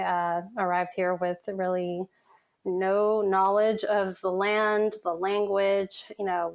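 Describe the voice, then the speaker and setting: A woman in her forties speaking as a podcast guest in a relaxed, reflective way. Her voice sits at a middle pitch for a woman, and she strings thoughts together with easy fillers as she recalls her path. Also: creaky. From San Francisco, United States. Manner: reflective, chatty storytelling with frequent "you know" fillers. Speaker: guest, content design manager. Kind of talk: casual podcast interview.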